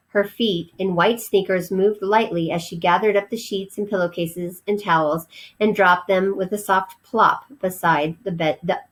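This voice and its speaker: A woman's voice: on the low side, poor audio. Woman reading a storybook aloud. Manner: explanatory, as if to young listeners. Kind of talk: storybook narration read aloud.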